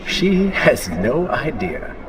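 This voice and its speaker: Sinister, wildly swooping dark inner voice. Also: male voice, mid-range voice, sing-song, slow pace, background noise. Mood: scornful. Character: dark male inner voice of a prince, cruel, impatient, scheming. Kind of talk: video game cutscene acting. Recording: loud music behind the voice.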